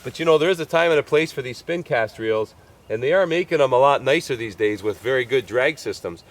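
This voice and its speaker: A man's voice: medium pitch, expressive, a little fast. A man in his fifties hosts an outdoor fishing show from a creek bank, speaking to the camera in a loose, folksy way. His voice is a little stuffy from a cold. He fills his talk with easy "uh"s and keeps calling his viewers "folks.". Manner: chatty and folksy, lots of "uh" and "folks".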